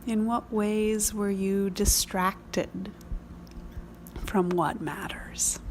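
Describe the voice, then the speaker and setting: A woman leading a guided prayer meditation in measured, reflective phrases with long pauses. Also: medium pitch, fairly even intonation, a little mellow, slight background noise.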